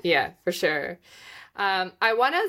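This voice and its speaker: A woman's voice: on the low side, sing-song, a little slow, sharp tone. Chatty woman host. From Moldova. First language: Russian. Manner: chatty, searching for words, many fillers. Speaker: podcast host. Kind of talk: casual interview chat.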